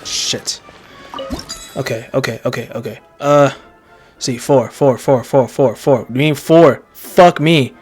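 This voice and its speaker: A man's voice: mid-range voice, slow pace, a little mellow, husky, faint music behind. Man muttering through casual gameplay. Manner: muttering, swearing freely. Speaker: video game player.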